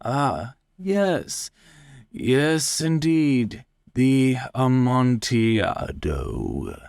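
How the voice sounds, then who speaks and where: A man's voice: medium pitch, very animated, very slow, creaky, darkish tone, no room sound, clean studio sound, voice pushed up, full character voice. A man voicing a cold, slyly polite avenger who feigns concern, in a dramatic story reading. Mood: slyly agreeable.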